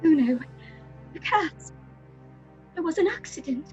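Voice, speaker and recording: An anxious young actress in a horror radio play. The voice is high, theatrical and questioning, over faint music. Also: female voice, animated, a little slow, muffled recording. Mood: alarmed. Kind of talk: audio horror drama acting.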